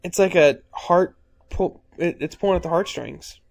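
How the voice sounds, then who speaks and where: A man's voice: sits high, normal speed, gravelly, darkish tone. A man giving casual, blunt commentary while watching a movie. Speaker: film commentator.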